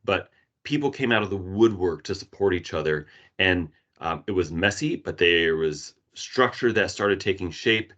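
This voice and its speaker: Low-voiced American man, muffled webinar audio. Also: normal speed. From Arizona, United States. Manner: relaxed, conversational, many fillers. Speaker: emergency management presenter. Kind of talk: webinar presentation. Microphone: video call.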